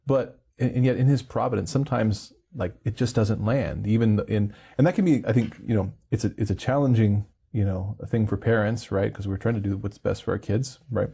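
A man's voice: fairly low pitch, brisk, vocal fry, few pauses, warm tone, slightly rough. A man hosting an interview, reflecting aloud with many fillers and tag questions. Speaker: interview host. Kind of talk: conversational interview.

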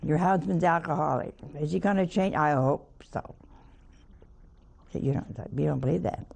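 A hoarse woman hosting a spiritual talk show, chatting in a folksy, teasing way. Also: low voice, moderate pace, a little mellow. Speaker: TV host, nun. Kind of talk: homely spiritual talk to a studio audience.

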